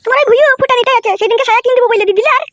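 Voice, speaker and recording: A woman's voice: very high voice, rapid-fire, fairly bright tone, distant mic, dull audio. A woman playing a money-minded, wary village mother in a comic cartoon, sassy and questioning. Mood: sassy, eager.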